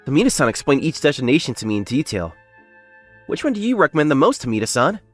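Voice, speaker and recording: A man's voice: mid-range voice, sing-song, quick pace, faint music behind. A man playing a modest, plain-spoken young factory worker who narrates his own story in a manga dub. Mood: polite, earnest. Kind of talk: English manga dub acting and first-person narration.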